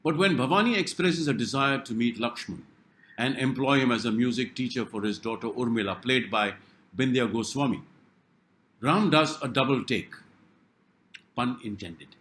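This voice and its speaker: A man narrates a scripted review in a middle-pitched voice. His delivery is dramatic and wry, with deliberate pauses between phrases. The recording has a noticeable roomy echo. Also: fairly bright tone, slight background noise.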